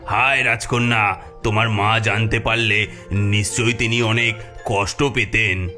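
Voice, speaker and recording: A man's voice: lowish voice, fairly even intonation, measured pace, natural voice. A man voicing the sorrowful head of a loyal horse in a cartoon fairy tale, speaking in mournful pronouncements. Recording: music behind the voice.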